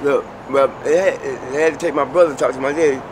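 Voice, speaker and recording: A man's voice: mid-range voice, steady tone, quick pace, noisy background. A middle-aged man, chatting casually and laughing on the street, recorded outdoors. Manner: laid-back, laughing, slangy, trailing off. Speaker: interviewee on the street. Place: outdoors by a building and parking lot.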